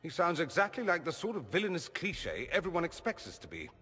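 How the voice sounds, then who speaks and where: Witty mage: sardonic young male mage, higher, lively delivery, hall reverb. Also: slightly rough, heavily acted. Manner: dry, theatrical, quick wit. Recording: game audio with hall reverb and music.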